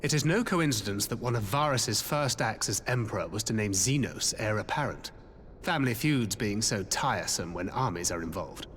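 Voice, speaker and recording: Composed strategist in a hall. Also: male voice, fairly low pitch, lively intonation, a bit bright. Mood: composed, calculating. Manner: measured, articulate, formal. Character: composed male strategist laying out covert war plans. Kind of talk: scripted fantasy video game acting. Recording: long hall reverb, faint background noise.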